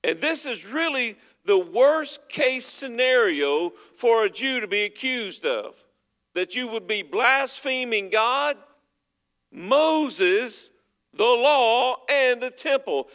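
A man's voice: extremely high pitch, animated, takes it slowly, fairly bright tone. A man preaching a sermon as pastor, earnest and emphatic as he works through scripture. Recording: long hall reverb.

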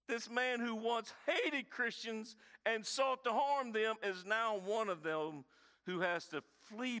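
A man, preaching a sermon with dynamic emphasis and quiet, intense stretches. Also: high-pitched. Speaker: pastor.

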